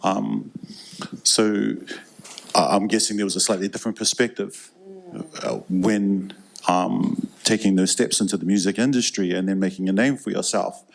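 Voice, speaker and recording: A man hosts a live panel discussion in a low, gravelly voice. He asks his questions haltingly, restarting several times and padding them with "um"s before he lands the point. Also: fairly even intonation. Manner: halting, many stammered restarts and fillers. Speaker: host.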